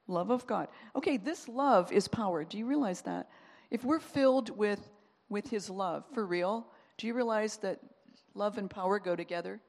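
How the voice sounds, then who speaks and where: A woman pastor giving a sermon, talking in an animated, conversational way and acting out quotes as she goes. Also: medium pitch, creaky, big-room sound.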